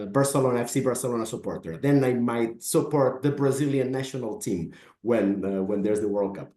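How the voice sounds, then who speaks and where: A man's voice: lowish voice, mellow, echoey room. A middle-aged man from Spain, a business advisor, introducing himself in an online meeting, often stammering and repeating words. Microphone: video call.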